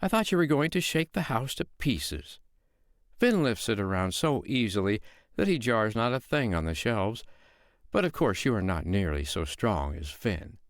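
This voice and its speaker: A man voicing a calm, shrewd foster mother, talking in a sweetly sly, coaxing way. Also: on the low side, normal speed, no echo, clean studio sound.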